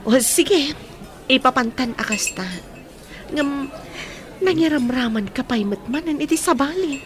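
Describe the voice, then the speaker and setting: An actress with a Filipino accent playing a frank, concerned young best friend in a radio drama. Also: female voice, high voice, expressive, unhurried, a faint crowd.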